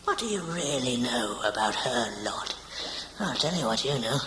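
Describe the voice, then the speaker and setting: A man's voice: medium pitch, lively intonation, normal speed, close to an everyday voice. An actor playing a cantankerous older male healer who scolds and mocks in colloquial speech with hectoring "eh?" tags, in an audio drama. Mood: irate, mocking. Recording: very echoey room, clear background noise.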